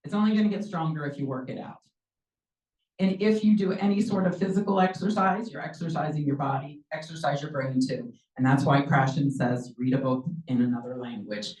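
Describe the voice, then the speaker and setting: A middle-aged woman gives a conversational lecture in a low voice, filling pauses with ums. She sounds a bit far from the mic, with the room's echo around her. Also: darkish tone. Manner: conversational, many ums, acts out quoted dialogue. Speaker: presenter, language teacher. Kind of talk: lecture presentation. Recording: echoey room, mic from afar.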